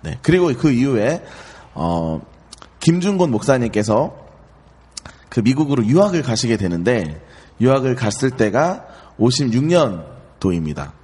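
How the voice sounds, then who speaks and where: A man's voice: medium pitch, sing-song, a little slow, a little mellow, breathy edge, long reverb tail. A man in his thirties, a ministry staff guest, recounting history hesitantly with many fillers. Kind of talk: casual storytelling.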